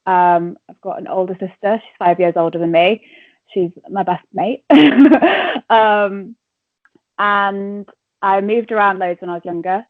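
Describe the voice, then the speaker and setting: A woman's voice: mid-range voice, unhurried, no room sound. Chatty young woman on a tinny line. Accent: English. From Wales. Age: 20s. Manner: chatty, laughs often, many fillers. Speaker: radio presenter and entertainment reporter. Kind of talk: casual interview answers. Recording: tinny phone-line sound.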